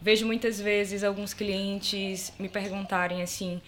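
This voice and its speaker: A woman from Salvador, Bahia, with a Brazilian accent, a lawyer guesting on a casual podcast and chatting in a relaxed, anecdotal way. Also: mid-range voice, unhurried, noisy background. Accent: Brazilian Portuguese, Bahia. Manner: relaxed, chatty, laughs easily, tells anecdotes. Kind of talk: casual chat.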